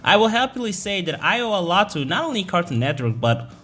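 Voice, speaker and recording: A man's voice: high-pitched, sing-song, brisk, sharp tone. A young man whose first language is Portuguese, delivering a sarcastic, sweary rant as a video essayist. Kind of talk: ranting commentary.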